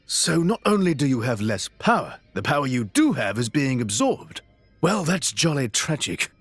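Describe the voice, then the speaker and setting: A man's voice: medium pitch, very animated, normal speed, breathy edge, no echo, faint music behind. A man playing a cocky, dramatic, protective young swordsman in a fantasy video game. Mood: wry.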